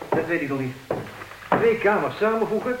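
An actor playing a fussy, self-assured bachelor in a comic radio play, insistent and argumentative. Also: male voice, sits high, expressive, moderate pace. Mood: indignant, insistent. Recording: very noisy, echoey, distant old radio recording.

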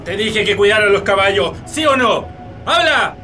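A man's voice: sits high, animated, normal speed, sharp tone, faint music behind. A man with a Chilean accent playing a harsh, domineering landowner in a radio drama, commanding and threatening. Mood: furious, threatening.

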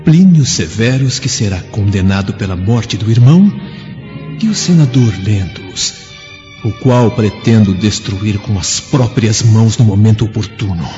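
A vengeful freed slave gloats and schemes in theatrical radio drama style. His low voice rings in a long, dull reverb with faint music underneath. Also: lively intonation, a little slow. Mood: gloating menace.

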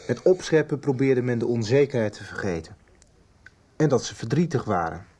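Radio drama role: man, attentive questioner, mellow tone, husky, animated intonation. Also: mid-range voice, moderate pace.

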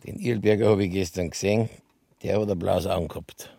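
Gravelly, grumpy Bavarian detective. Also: male voice, sits low, steady tone, a little fast, warm tone, dry recording. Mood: dry, baffled. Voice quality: gravelly, very husky. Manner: laconic, dry, grumbling. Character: grumpy, laconic Bavarian police detective, weary of nagging. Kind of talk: naturalistic radio drama dialogue.

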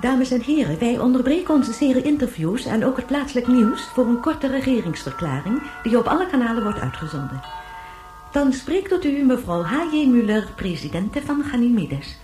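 A polished female announcer speaks in a mellow, fairly high voice with lively intonation. Her delivery is a formal radio-play broadcast. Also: normal speed, faint music behind.

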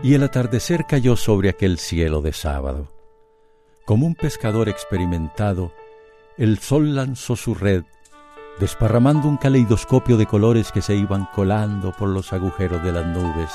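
A man's voice: on the low side, expressive, mellow. Lyrical male narrator over prominent music. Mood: wistful, lyrical. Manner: measured, lyrical. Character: lyrical storyteller of a radio soap. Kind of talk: poetic scripted narration. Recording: instrumental music under narration.

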